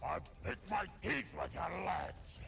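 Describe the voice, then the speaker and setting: A bloodthirsty wolf monster from a video game, growls in a deeply creaky, gravelly voice. The delivery swings wildly between low menace and savage snarls. Each taunt comes slowly, from a haunted hunter. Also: male voice, big pitch swings, fairly bright tone, soft background music, exaggerated voice. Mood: menacing taunting. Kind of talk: video game character voice lines.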